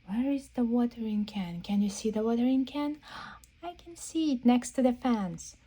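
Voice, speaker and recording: A woman's voice: mid-range voice, mellow, breathy edge. A woman reading a picture book aloud to young children, asking simple, repeated questions and prompting them to look. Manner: playful question-and-answer, prompting listeners to look; simple, repetitive phrasing aimed at small children. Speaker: children's read-aloud host.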